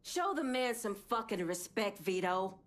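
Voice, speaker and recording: An actress playing a proud, defiant woman crime boss with a measured, commanding manner in a video game. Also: medium pitch, lively intonation, natural voice. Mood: stern. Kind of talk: video game cutscene acting.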